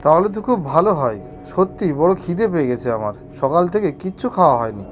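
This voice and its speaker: A man voicing a polite, weary traveler seeking shelter in an animated horror story. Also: fairly high pitch, quick pace, muffled recording, faint music behind.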